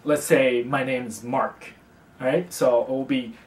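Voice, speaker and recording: A young man from China, teaching a casual beginner language lesson in a relaxed, chatty way, with fillers and slow, careful repetition of example words. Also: mid-range voice, a little hiss or hum. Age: young adult. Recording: roomy, distant mic.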